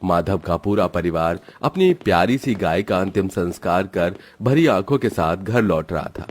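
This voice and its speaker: A man narrating a cartoon moral story in a steady, dramatic storytelling style. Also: sits low, normal speed.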